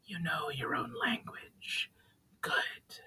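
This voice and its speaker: A man voicing an ancient, stern and haughty sorceress spirit of flame in a slow, solemn, whispery voice for a fantasy audiobook. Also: a little high, voice pushed up, heavily acted. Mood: eerie, commanding. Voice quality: hushed, whispery, breathy. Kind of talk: dramatic audiobook reading.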